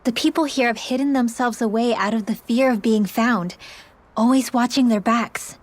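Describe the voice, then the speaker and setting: A gentle young female companion from a game cutscene, acted by a woman. Her voice is fairly high, soft and caring. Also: moderate pace, natural voice. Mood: wistful. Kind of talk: dubbed anime-style game voice acting.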